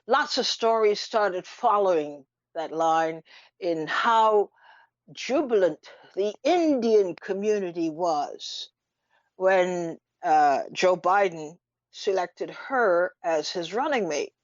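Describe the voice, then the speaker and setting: A woman giving unscripted radio commentary in an emphatic, deliberate manner, with rhetorical questions and pauses. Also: on the low side, lively intonation, no echo. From Trinidad. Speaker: radio talk show host. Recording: dull lo-fi radio audio.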